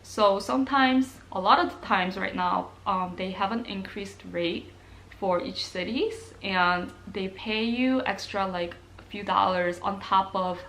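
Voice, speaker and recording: A woman's voice: mid-range voice, unhurried, fairly bright tone, low-quality recording. A young woman from Korea, chatting casually to camera as a nursing student vlogger, with plenty of ums and likes. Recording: faint background noise.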